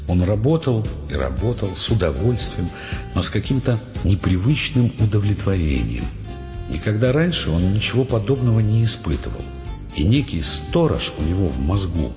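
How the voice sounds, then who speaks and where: Deep-voiced male narrator over music. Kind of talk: dramatic literary narration for an audio play. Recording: muffled studio track with music behind. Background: prominent music.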